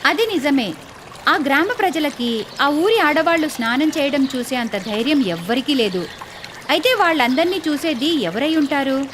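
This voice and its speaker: A woman's voice: sits high, animated, normal speed, a bit bright, slight background noise. A woman narrating a scripted moral story in a storytelling style.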